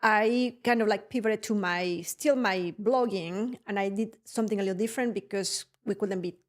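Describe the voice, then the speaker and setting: A woman whose first language is Spanish, a guest on a podcast, telling her story in an animated way, laughing often and checking in with "right?". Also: mid-range voice, moderate pace. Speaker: guest, production company CEO. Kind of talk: casual podcast interview.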